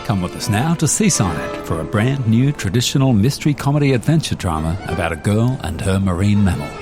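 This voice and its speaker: A man's voice: on the low side, very animated, fairly quick. A man playing a polite, slightly awkward local radio host in a comedy show. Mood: announcing. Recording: loud music behind the voice.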